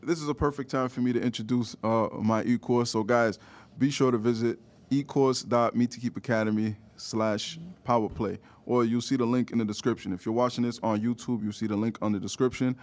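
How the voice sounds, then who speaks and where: Male podcast host, thirties, mid-pitched, slangy and conversational. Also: fairly even intonation, brisk, darkish tone. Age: 30s. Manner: repeats "you know what I mean" often, slangy. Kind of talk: casual podcast chat.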